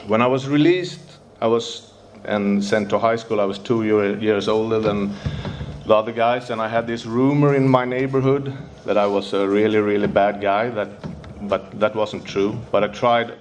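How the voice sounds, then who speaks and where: Nervous Swedish man, halting English. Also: on the low side, steady tone, darkish tone, a little hiss or hum. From Sweden. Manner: halting, searching for English words, many fillers and restarts. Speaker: speaker sharing recovery story. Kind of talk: recovery meeting share.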